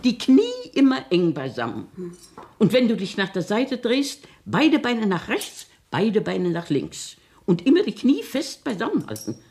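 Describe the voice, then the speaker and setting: A woman's voice: mid-range voice, sing-song, a little slow, a little mellow. An actress playing a strict, fussy old grandmother in a German radio play, scolding and bossy with emphatic theatrical delivery.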